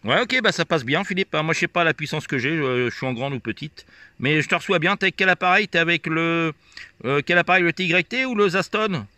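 Bright-voiced man demonstrating radio gear. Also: a little high, animated, brisk, a little hiss or hum. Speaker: amateur radio operator filming a video. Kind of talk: casual radio chat and hands-on demonstration. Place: outdoors on a hilltop field.

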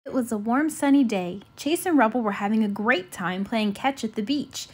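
A woman reading a children's storybook aloud in an expressive style. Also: fairly high pitch, moderate pace, breathy edge. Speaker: children's book reader.

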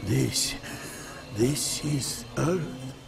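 An actor playing a dying, awestruck cosmonaut captain in a video game, weak and halting, in breathy fragments. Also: male voice, medium pitch, lively intonation, over music, heavily acted. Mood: faint, awed. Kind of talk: dramatic video game cutscene acting.

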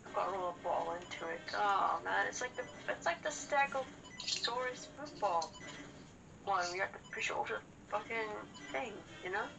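A woman with a mid-pitched, noticeably creaky voice chats casually as she games. Video game music plays clearly behind her. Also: lively intonation, moderate pace, poor audio. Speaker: gamer, co-host of a let's play show. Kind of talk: casual chat while gaming. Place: indoors, room with a TV screen.

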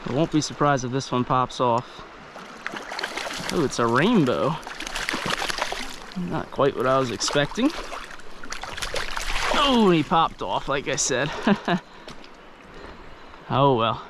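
Outdoors fishing vlogger, male, casual and excited, action-cam audio with a soft river hiss. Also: medium pitch. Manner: chatty, excited, talks to the fish. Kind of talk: casual narration while fishing. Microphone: head-mounted action camera. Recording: faint river noise outdoors. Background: rushing stream water.

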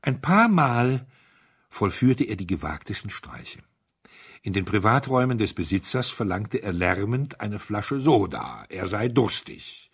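Husky, lebhaft erzählender Mann. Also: male voice, fairly low pitch, unhurried. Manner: expressive, theatrical storytelling. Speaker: audiobook narrator. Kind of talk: dramatic scripted narration of a detective story.

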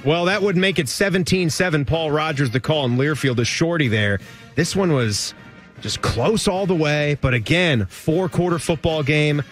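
A man's voice: fairly high pitch, normal speed, soft background music. Man hosting a sports radio show. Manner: lively, rattles off scores and jokes. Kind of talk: post-game radio talk show.

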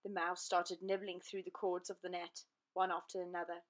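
A woman narrating a children's storybook aloud in an expressive, deliberate, dramatic way. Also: fairly low pitch.

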